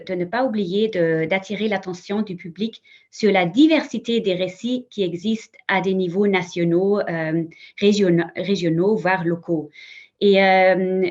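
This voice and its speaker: A woman speaks on a conference panel in French, which is not her first language, halting and restarting her sentences with frequent fillers. Her voice sits in a middle register. The sound is dull and lo-fi, like an online call. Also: mid-range voice, darkish tone. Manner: hesitant, many fillers and restarts. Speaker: museum representative on a panel. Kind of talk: conference talk, spoken off the cuff.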